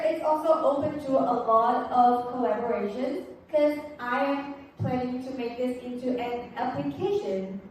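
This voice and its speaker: A woman's voice: high voice, darkish tone, slight background noise. Teen girl, echoey room. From Korea. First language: Korean. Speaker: student presenting a research project. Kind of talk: scripted project presentation. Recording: very echoey room, voice far from mic.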